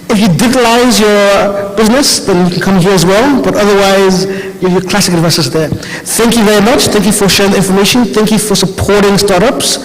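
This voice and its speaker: A man's voice: high-pitched, fairly quick, hardly any pauses. Man with a foreign accent, conference hall. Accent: foreign, European-tinged. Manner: casual, chatty, self-correcting. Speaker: conference speaker. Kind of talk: conference presentation. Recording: hall reverb from a large room.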